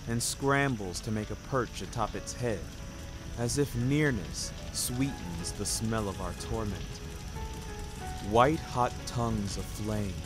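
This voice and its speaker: A man narrating a scripted first-person story in a wry, florid, dramatic manner. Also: mid-range voice. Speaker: narrator of a fiction podcast. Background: music under the voice.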